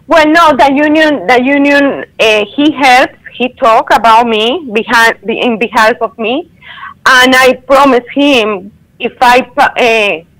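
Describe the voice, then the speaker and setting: A woman, a caller telling her story on a radio show in earnest, halting English over a phone line. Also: sits high, a bit bright.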